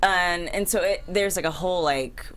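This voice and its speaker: Very low-voiced woman chatting casually. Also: normal speed, a bit bright. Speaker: panelist. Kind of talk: casual panel discussion. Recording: faint background noise.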